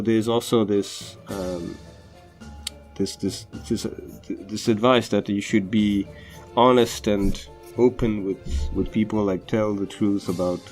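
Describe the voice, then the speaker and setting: A man's voice: on the low side, a little mellow. A man whose first language is Tamil hosts a film podcast, talking unscripted with many fillers and restarts. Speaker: film analysis podcast host. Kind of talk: casual unscripted movie commentary. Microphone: home recording over drawings.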